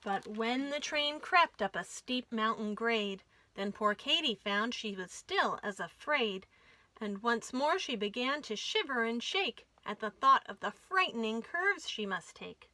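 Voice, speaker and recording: Female storytime reader, higher-pitched and bright, dry close sound, expressive delivery of rhyming children's verse. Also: unhurried, dry recording. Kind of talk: expressive read-aloud of a rhyming picture book.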